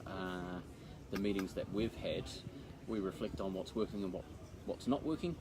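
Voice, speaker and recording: A man's voice: low-pitched, normal speed, noisy background. A man with a New Zealand accent, an accountant co-hosting a casual video chat in a blokey, slangy manner. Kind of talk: casual chat to camera for a live social video.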